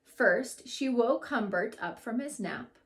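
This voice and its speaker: A woman educator giving an expressive read-aloud storytelling lesson for children, acting out the characters' lines. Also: a little high, slow. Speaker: school educator reading to children.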